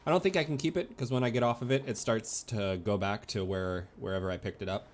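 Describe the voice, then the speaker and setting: A man's voice: fairly low pitch, fairly even intonation, fast talker. A man streaming a video game with casual, playful and sometimes sweary commentary.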